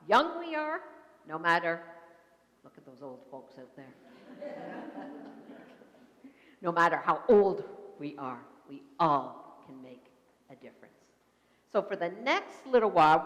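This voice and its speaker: Woman minister in an echoey church. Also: mid-range voice. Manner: simple, gentle explanations, leading the congregation in call and response. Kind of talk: children's talk during a worship service. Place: church sanctuary.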